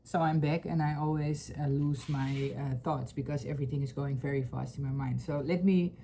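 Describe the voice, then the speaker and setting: A woman with a Dutch accent, speaking earnestly to camera at home in English, often pausing to search for words. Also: low voice, fairly even intonation, vocal fry, slight background noise. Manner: searching for words, many fillers, soft and earnest. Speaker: vlogger. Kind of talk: personal heartfelt talk to camera. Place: home room with a couch.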